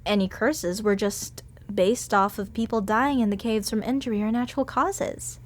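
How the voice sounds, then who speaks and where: A woman's voice: medium pitch, steady tone, normal speed, natural voice. A woman voicing a sweet, bookish female museum curator who earnestly explains legends in an audio roleplay drama. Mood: lecturing. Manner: earnest, lecturing at length on legends and history.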